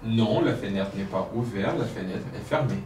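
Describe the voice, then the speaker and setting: A man runs a French drill, patiently prompting and confirming each answer. His voice sits on the low side. He is recorded from a distance in an echoey room with a faint hiss behind. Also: normal speed, a little mellow. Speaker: French teacher. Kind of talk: language lesson drill. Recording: roomy, distant mic, faint noise.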